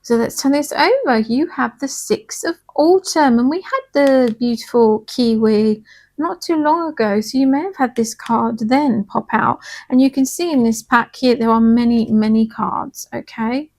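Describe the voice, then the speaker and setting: Woman card reader, a little hoarse, pitched a touch high, gentle and chatty with listeners. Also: normal speed. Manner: soft, chatty, reassuring, checks in with "okay?". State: slightly hoarse from singing. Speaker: tarot and angel card reader. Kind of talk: casual spiritual card reading.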